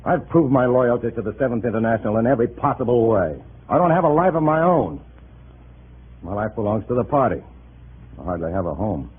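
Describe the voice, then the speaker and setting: An actor playing a bitter, tense undercover agent posing as a Communist, in hard-boiled 1950s radio style. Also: male voice, mid-range voice, husky. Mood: impassioned. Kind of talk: 1950s radio acting, hard-boiled first-person narration. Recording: muffled vintage radio drama, faint music.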